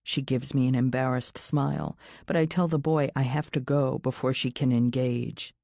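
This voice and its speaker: A woman's voice: extremely low pitch, steady tone, normal speed, vocal fry, a little mellow, no room sound, studio quality. A woman reading scripted first-person thriller narration as an audiobook narrator.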